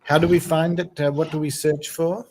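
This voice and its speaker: A man's voice: a little high, level tone, moderate pace, a little mellow. A man hosting a casual group call, laid-back and amused. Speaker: channel host. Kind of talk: casual chat.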